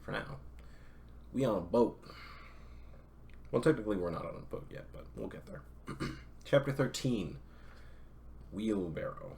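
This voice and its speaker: A man's voice: fairly low pitch, lively intonation, a little slow, pauses often, a little mellow, a little hiss or hum. A young man reading a classic novel aloud on a stream, breaking off for chatty, self-correcting asides to his viewers.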